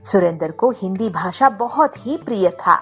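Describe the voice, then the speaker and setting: A woman's voice: medium pitch, animated, moderate pace, a bit bright. A woman narrating a scripted moral story as a storyteller. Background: faint music.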